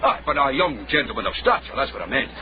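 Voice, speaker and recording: An actor playing a sly, watchful caddy with a Scottish accent, wry and ingratiating in a radio drama. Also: male voice, medium pitch, sing-song, fast, crowd noise, lightly acted. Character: sly, watchful Edinburgh caddy hustling for work. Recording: muffled, noisy background ambience.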